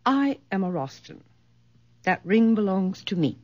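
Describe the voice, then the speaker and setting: A woman's voice: medium pitch, sing-song, measured pace, a little mellow, muffled recording. An actress playing a domineering middle-aged mother in a radio mystery drama, speaking in an imperious, formal, theatrical way. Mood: scornful, imperious. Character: haughty, domineering mother of an old family.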